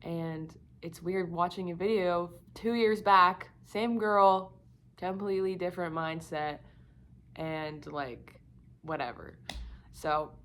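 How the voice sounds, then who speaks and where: Croaky young woman vlogging candidly. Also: on the low side, fairly even intonation, slow. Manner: rambling, filler-heavy, candid. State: croaky, slightly sick voice. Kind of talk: casual confessional talk to camera.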